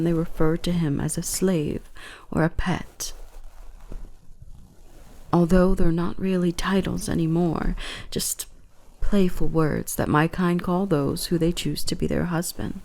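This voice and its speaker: A woman plays a gentle dark elf woman in an intimate ASMR roleplay. Her voice is lowish and soft, with a touch of gravelly fry, often dropping into breathy whispers. She speaks very slowly, soothing and tenderly possessive. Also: fairly even intonation. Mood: tender, reassuring.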